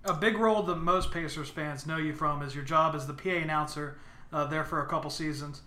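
A man's voice: a little high, steady tone, brisk, sharp tone. An American man co-hosting a sports podcast, chatting casually and asking questions. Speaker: podcast co-host.

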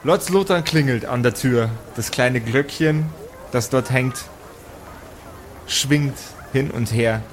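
A man's voice: mid-range voice, slow pace, slight background noise. A man acting as game master, narrating an improvised tabletop role-playing story.

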